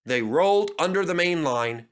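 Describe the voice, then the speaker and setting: Male narrator for children's stories, scripted read, expressive and varied pitch. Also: normal speed. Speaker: storyteller.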